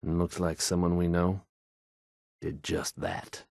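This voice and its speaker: An actor playing an assured male radio host in a video game, speaking in a measured, sincere, quietly inspirational way. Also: low-pitched, flat delivery, gravelly, no echo, clean studio sound, heavily acted. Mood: earnest, hopeful. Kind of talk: in-game radio news acting.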